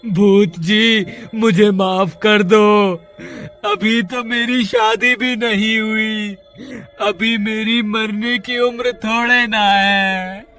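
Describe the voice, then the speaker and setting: A man's voice: high-pitched, fairly even intonation, drawn-out words, sharp tone, faint music behind, exaggerated voice. A man voicing a frightened village man in a horror cartoon, pleading in a frantic, whiny manner. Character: panicky, pleading unmarried village man fleeing a ghost. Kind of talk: Hindi horror cartoon character voice.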